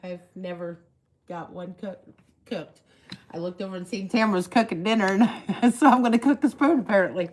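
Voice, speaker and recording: A hoarse woman, chatting casually while hosting a woodworking livestream and laughing at herself. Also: mid-range voice, a little fast, darkish tone.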